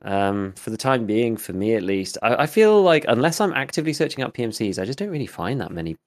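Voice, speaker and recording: A man co-hosting a gaming podcast in casual chat, with a slight gravel in his voice, rambling with lots of fillers. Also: mid-range voice, big pitch swings, fast. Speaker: podcast co-host, gaming YouTuber.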